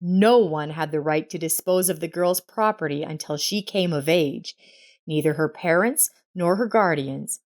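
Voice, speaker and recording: Lower-pitched woman narrating a story. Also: normal speed, a little mellow.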